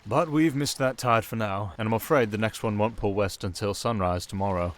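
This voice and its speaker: A man with a British accent playing a sardonic, softly dangerous male siren in an ASMR roleplay, with dry, theatrical sarcasm. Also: on the low side, a little fast, close to an everyday voice. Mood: teasing, sardonic.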